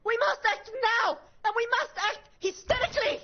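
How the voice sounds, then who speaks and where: A woman's voice: very high voice, animated, normal speed, highs cut off. A woman voicing a hysterical young female activist in a satirical cartoon.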